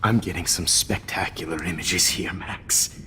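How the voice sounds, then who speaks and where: An actor playing an obsessive, condescending photography teacher turned kidnapper in a video game, controlling and prone to sudden rage. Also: male voice, low-pitched, unhurried, bright, forceful tone, a little hiss or hum. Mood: gloating, softly menacing. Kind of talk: video game cutscene acting.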